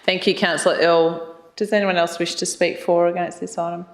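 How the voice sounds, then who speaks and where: Flat, formal meeting chair. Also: mid-range voice. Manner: measured and formal, with frequent ums. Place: council chamber. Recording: echoey council chamber.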